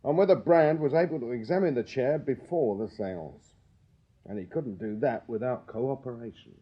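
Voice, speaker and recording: An actor playing a shrewd, dry-witted Victorian police sergeant in a radio drama. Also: male voice, medium pitch, moderate pace, husky, dull audio, lightly acted. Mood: probing. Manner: dry, wry questioning. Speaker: detective sergeant.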